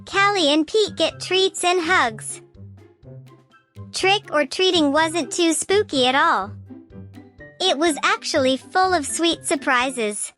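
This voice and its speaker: High-pitched woman reading slowly to children. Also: expressive, a bit bright, soft background music. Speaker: storytime host. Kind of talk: children's picture-book read-aloud.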